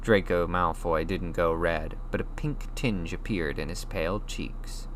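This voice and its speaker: A man reading a storybook aloud as an expressive audiobook narrator. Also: sits low, unhurried, rough recording. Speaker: audiobook reader. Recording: faint noise and hum.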